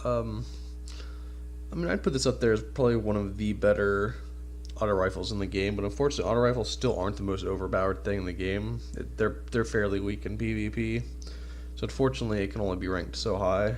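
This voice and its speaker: A man's voice: lowish voice, steady tone, quick pace, slight background noise. A man with a stuffed-up, nasal voice from a cold, giving casual, informal video game commentary as a channel host.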